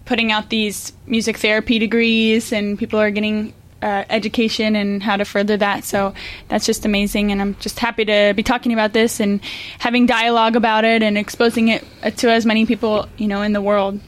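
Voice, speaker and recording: A woman's voice: medium pitch, little pitch movement, brisk. A woman interviewing a guest, enthusiastic and affirming. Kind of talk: interview conversation.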